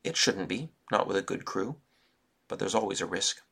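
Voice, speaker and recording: A man's voice: deep voice, animated, normal speed, creaky, fairly bright tone, husky, exaggerated voice. A man voicing an earnest, restless young man. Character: restless young man who longs to travel.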